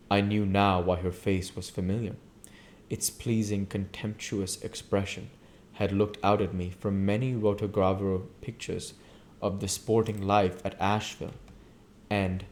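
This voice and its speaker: Low-voiced man reading a novel aloud. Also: slight background noise. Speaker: reader and channel host.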